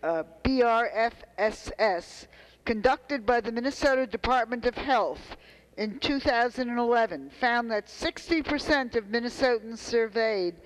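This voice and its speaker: A woman's voice: on the high side, rough recording. An elderly woman in her seventies, a state legislator, formally reading a resolution into a handheld microphone. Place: large indoor legislative chamber. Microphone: handheld floor microphone held close.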